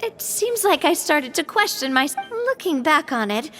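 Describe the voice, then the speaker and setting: A woman's voice: sits high, sing-song, normal speed, noisy background. A woman voicing an earnest, grateful young female leader in a video game, speaking sincerely and reflectively. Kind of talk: video game dialogue acting.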